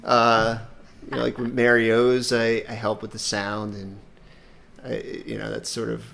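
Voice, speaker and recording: A man's voice: lowish voice, monotone, measured pace, creaky, a bit bright. A man co-hosting a live stream as its sound technician, talking in a hesitant, rambling, self-deprecating way. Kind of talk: casual chat.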